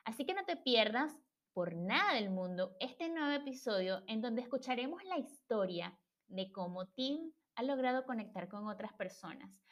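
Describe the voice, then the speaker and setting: A woman hosting a podcast, reading a formal introduction and welcoming her guest. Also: fairly high pitch. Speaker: podcast host. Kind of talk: scripted intro then interview hosting.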